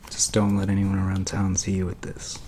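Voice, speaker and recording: A man's voice: low voice, completely flat delivery, brisk, dark tone, lightly acted. A man voicing a laid-back teenage metalhead game master in a whispered ASMR roleplay, over a noisy hiss.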